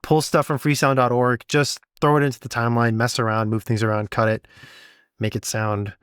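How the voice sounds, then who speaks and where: A man's voice: medium pitch, flat delivery, fairly quick, fairly bright tone, smooth, no echo, studio quality. A man co-hosting a podcast, talking casually and encouragingly, with rambling, self-deprecating asides. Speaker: podcast co-host and sound designer. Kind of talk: casual conversational podcast talk.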